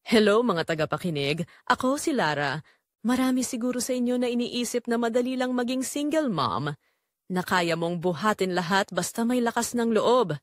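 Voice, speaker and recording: Babaeng gumaganap na madamdaming single mom, pabago-bago ang tono. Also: female voice, a little high, animated, normal speed, no echo, studio quality, natural voice. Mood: earnest, weary. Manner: confessional, emotive, addressing listeners. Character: struggling single mother confessing a secret, weary but hopeful. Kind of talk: dramatic first-person audio drama narration.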